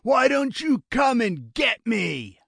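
A man's voice: fairly high pitch, lively intonation, measured pace, no echo, studio quality, pitched above the performer's own voice. A man voicing a violent, foul-mouthed criminal with an aggressive, sneering delivery for an audiobook thriller. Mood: shouting, enraged.

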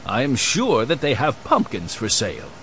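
A man voicing a calm, genial grandfather, an older man, in a children's audiobook. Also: medium pitch, moderate pace, a little hiss or hum.

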